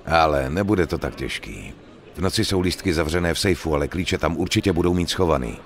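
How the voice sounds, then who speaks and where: An actor playing a cool, businesslike mobster in a dubbed game, terse, distrustful and authoritative. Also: male voice, very deep voice, lively intonation, soft background music. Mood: cool, testing. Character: cool, businesslike male mobster giving out jobs. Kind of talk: dubbed video game cutscene acting.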